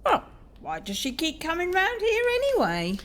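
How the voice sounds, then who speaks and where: A woman playing a gruff, sharp-tongued housekeeper in an audio drama, blunt and snappish. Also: very high voice, huge pitch swings, normal speed, full character voice. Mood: grumpy, scornful.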